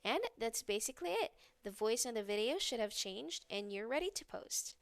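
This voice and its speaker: A woman's voice: fairly high pitch, lively intonation, moderate pace, no room sound. A woman reading a scripted how-to narration, giving step-by-step instructions as a tutorial narrator.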